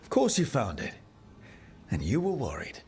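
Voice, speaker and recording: An actor playing a wry, supportive adventurer and leader in a video game, with a casual delivery. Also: male voice, mid-range voice, wildly swooping pitch, normal speed, a little mellow, husky, full character voice. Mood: supportive, focused.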